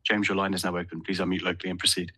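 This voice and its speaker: A man's voice: deep voice, completely flat delivery, very fast, bright, forceful tone. A male conference call operator moderating questions in a formal, procedural style.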